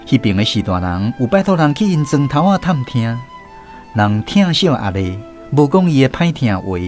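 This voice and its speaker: A man's voice: medium pitch, very animated, normal speed, darkish tone, faint music behind. A man with a Taiwanese accent narrating a scripted first-person story for a radio drama.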